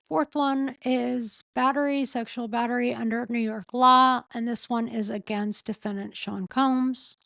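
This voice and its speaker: A woman with a sore throat and a failing voice, reading a legal filing aloud in a slow, halting way and often stopping to correct herself. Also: on the high side, steady tone, gravelly, fairly bright tone, no room sound. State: losing her voice, sore throat. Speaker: streamer reading a court filing. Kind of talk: casual late-night live stream.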